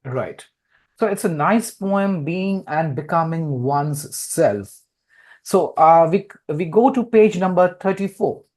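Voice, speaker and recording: A man hosting a literary interview in a deliberate way, often repeating his phrases. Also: on the high side, animated, a little mellow. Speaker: host, poet and publisher interviewing an author.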